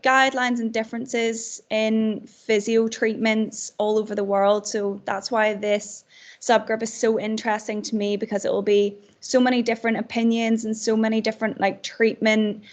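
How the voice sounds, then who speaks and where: A young woman with a Northern Irish accent giving an informal student self-introduction on a video call. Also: a little high. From Belfast, Northern Ireland. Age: young adult. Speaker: physiotherapy student.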